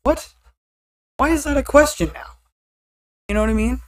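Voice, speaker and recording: A woman with a deeper voice chatting casually as a podcast co-host, blunt and often laughing at herself. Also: moderate pace, dry recording. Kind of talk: casual chat.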